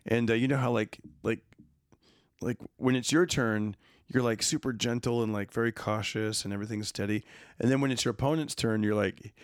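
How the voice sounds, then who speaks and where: A man's voice: medium pitch, steady tone, brisk, no room sound. An easygoing man with a mid-range voice, joking and laughing his way through a podcast chat. He leans on lots of "like"s and fillers. The sound is dry and close. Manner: easygoing, laughs often, jokes, many fillers. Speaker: podcast co-host. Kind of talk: casual chat.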